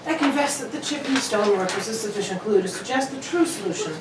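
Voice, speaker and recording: A woman's voice: medium pitch, animated, fast talker, natural voice. A woman playing a brilliant, exacting male detective in an old-time radio drama, with precise, theatrical delivery. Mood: self-reproachful. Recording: echoey room, distant mic, faint noise.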